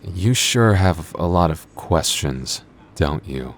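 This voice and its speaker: A man's voice: sits low, animated, slow, a little hiss or hum. A man voicing a young male vampire store coworker in an ASMR roleplay, flustered and teasing, with hesitant, sardonic banter. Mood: smug, teasing. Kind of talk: ASMR roleplay audio drama.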